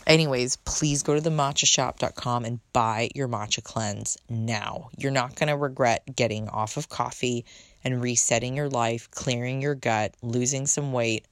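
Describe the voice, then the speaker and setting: A woman with a very low, soothing voice talks casually and chattily as a podcast host, swearing now and then. The sound is dry and close, with almost no room around it. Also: steady tone, normal speed, no echo. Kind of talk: casual solo podcast chat.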